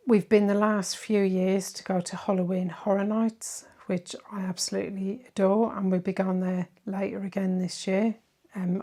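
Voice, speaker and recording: A middle-aged woman with a softened Irish accent, chatting casually as she answers viewer questions. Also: mid-range voice. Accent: Irish, softened by decades in southern England. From Ireland. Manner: chatty and warm-hearted, many "um"s and tag questions. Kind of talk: casual Q&A chat.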